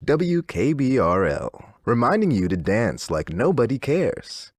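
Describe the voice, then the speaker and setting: A theme park radio DJ from a game, cheerful to an unsettling degree. His pitch swings wildly through reassuring, theatrical announcer patter, recorded very dry and close. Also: big pitch swings, unhurried, husky, no echo. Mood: upbeat, reassuring.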